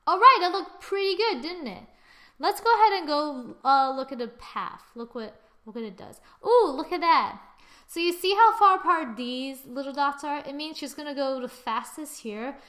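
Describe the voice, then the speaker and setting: Casting: young female tutorial presenter, bright higher register, informal, playful, prone to little sing-song exclamations. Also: animated, moderate pace. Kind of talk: casual software tutorial narration. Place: screen-recorded voice-over.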